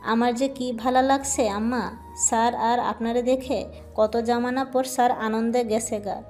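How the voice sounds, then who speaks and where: Devoted, chatty maid, played by a woman, fairly high voice, colloquial Bangladeshi speech, audio drama. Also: steady tone, a little slow, darkish tone, faint music behind, lightly acted. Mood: chatty, pleased. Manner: chatty, homely, deferential. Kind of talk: Bengali romantic audio drama acting.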